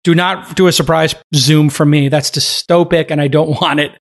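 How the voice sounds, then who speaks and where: A man's voice: a little high, steady tone, normal speed, gravelly. A man in his late forties hosting a podcast, chatty and enthusiastic in casual interview talk. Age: 40s. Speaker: podcast host.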